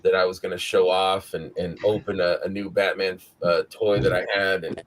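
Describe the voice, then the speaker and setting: Hoarse, rambling livestream host man. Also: deep voice, little pitch movement, moderate pace. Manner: rambling, tired but upbeat. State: scratchy, hoarse morning voice. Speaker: host of a weekly live show. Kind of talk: casual live-stream chat.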